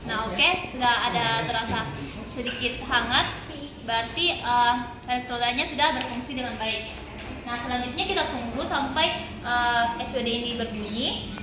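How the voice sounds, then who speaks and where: A young woman giving a halting, step-by-step practical demonstration as a physiotherapy student. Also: on the high side, background noise. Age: young adult. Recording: echoey room with loud background chatter.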